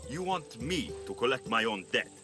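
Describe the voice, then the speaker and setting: An actor playing a tough, weary young Greek mercenary in a video game, sarcastic and exasperated, with a Greek accent. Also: male voice, medium pitch, sing-song, moderate pace, over music, lightly acted. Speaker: game protagonist. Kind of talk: cinematic video game acting.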